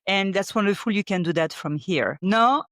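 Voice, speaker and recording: A woman's voice: mid-range voice, moderate pace, no room sound. Woman hosting, dry studio-like sound. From Europe. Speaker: podcast host. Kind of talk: conversational interviewing.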